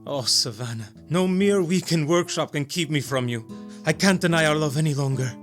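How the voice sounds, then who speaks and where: A man's voice: on the high side, normal speed, natural voice. A man playing a melodramatic, overwrought young lover in a soap opera parody, speaking in earnest declarations. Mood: lovesick, pleading. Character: melodramatic lovesick man and his suspicious clone original. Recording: loud guitar music behind. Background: plucked guitar music.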